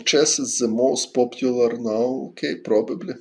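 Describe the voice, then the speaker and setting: A middle-aged man from Ukraine with a Ukrainian accent, chatting casually on a chess stream in halting English with many fillers. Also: medium pitch, warm tone. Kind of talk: casual livestream chat answering viewers.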